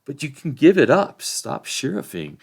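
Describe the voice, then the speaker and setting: A man's voice: on the high side, wildly swooping pitch, normal speed, creaky, husky, pitched above the performer's own voice, exaggerated voice. Guy doing a blunt folksy hobbit, scolding and indignant, raised voice that jumps around, storybook style. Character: blunt, indignant, loyal male hobbit, plain-spoken.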